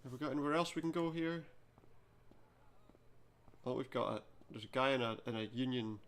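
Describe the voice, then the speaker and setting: Creaky-voiced man giving dry game commentary. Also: mid-range voice, fairly even intonation, brisk, a bit bright. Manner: dry, joking asides. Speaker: gaming video host. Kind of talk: casual let's play commentary.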